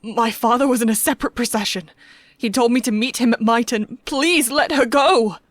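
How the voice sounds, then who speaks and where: A woman's voice: a little high, moderate pace, dry recording, natural voice. A woman voicing a desperate young male captive noble in an audiobook, pleading urgently in a shaky, strained voice. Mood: frightened.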